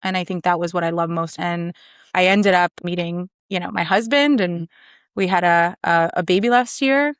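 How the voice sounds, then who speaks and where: A woman's voice: lowish voice, normal speed, clear, resonant tone, no echo, clean studio sound. A woman in her thirties from Maryland, a podcast guest telling her story in a confident, chatty way. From Maryland, USA. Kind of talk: casual conversation.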